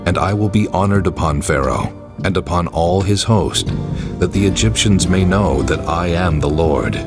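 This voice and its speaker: God, deep and commanding. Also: male voice, moderate pace. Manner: solemn, commanding pronouncements. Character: God, commanding male divine voice. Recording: voice over music.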